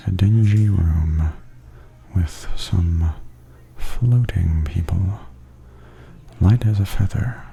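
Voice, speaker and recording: A man speaks in a very dark, soft, low voice with a gravelly fry, often slipping toward a whisper. He gives gentle, unhurried ASMR commentary as a walking tour guide, with steady ambient noise behind him. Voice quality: breathy, often near-whispered. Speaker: vlogger giving a walking tour. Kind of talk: softly spoken ASMR commentary. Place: walking through a theme park and its indoor attractions.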